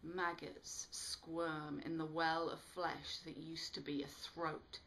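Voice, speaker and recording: Female author-narrator, slightly low voice, animated and expressive read-aloud of her own fiction. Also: takes it slowly, slight background noise, low-quality recording. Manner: animated, dramatic delivery. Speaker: author reading from own book.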